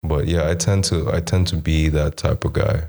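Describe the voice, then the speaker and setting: A young man talking casually about making music, relaxed and repeating his words, slipping Portuguese words into his English. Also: a bass voice, normal speed, gravelly. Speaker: musician guest. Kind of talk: casual chat.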